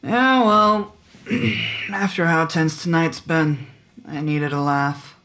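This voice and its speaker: A small-town sheriff in an audio drama, tired and wry. She speaks slowly in a low register, joking dryly and teasing. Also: a bit bright. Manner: dry, unhurried, joking.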